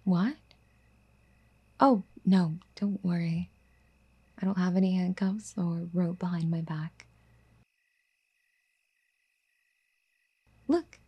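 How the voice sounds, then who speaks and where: A woman playing a doting, clingy, obsessive girlfriend in an ASMR roleplay, speaking in a soft, breathy, coaxing half-whisper. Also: fairly low pitch, takes it slowly, long pauses. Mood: sweetly doting. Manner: cooing, coaxing pet-name sweet talk.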